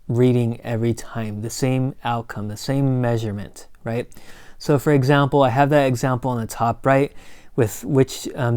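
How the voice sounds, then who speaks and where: A man giving a recorded college lecture in a casual, joking way, his voice slightly hoarse, often checking in with "right?". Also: medium pitch, warm tone, no echo, clean studio sound. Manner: casual, corny asides, frequent "right?" and "um". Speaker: college instructor.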